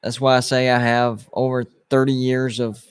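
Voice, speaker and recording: A man's voice: medium pitch, level tone, normal speed, smooth. A man in his late thirties hosts a live sports watch-party stream, chatting casually and reading viewer comments aloud. His talk rambles, with plenty of restarts and fillers. The sound is dry and close, with little room echo. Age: 30s. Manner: rambling, restarts, lots of "like" and "you know".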